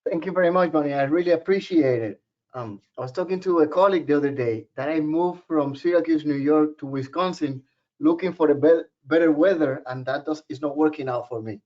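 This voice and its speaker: A man's voice: on the high side, a little fast. A man from Puerto Rico with a Puerto Rican Spanish accent, giving a webinar presentation in a joking, personal storytelling way. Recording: muffled lo-fi webinar audio.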